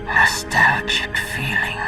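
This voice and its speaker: An actor playing a dying psychic villain in a video game, sinister and mournful, with a breathy rasp and slow, theatrical delivery. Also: male voice, over music, natural voice. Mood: dying, wistful. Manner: slow, halting, dramatic phrasing. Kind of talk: video game cutscene acting.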